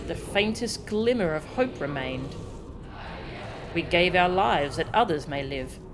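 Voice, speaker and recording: A streamer acting out a slow, solemn ancient mourner. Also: mid-range voice, animated, noisy background. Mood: reverent. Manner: slow, grave, incantatory. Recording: game music behind the voice.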